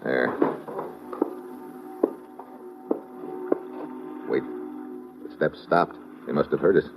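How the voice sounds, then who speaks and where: An actor playing a wry, tough investigator in an old-time radio drama, with clipped, slangy delivery and first-person narration. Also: male voice, a bass voice, a little slow, gravelly, full character voice. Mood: wary, urgent. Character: wry, tough, hard-boiled male investigator, quick with wisecracks. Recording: dull vintage radio recording with music.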